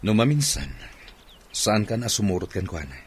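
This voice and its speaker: A man's voice: lowish voice, big pitch swings, normal speed, a little hiss or hum. A man with a Filipino accent playing a stern, troubled husband in a radio drama. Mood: questioning.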